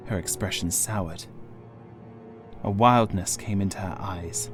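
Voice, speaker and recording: A man reading a horror audiobook as scripted dramatic narration, grave, measured and suspenseful. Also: deep voice. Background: music.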